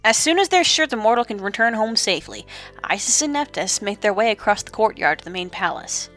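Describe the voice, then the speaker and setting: Female narrator for mythological audio drama. Lively, swooping pitch, deliberate dramatic pauses, faint music underneath. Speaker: storyteller, narrator.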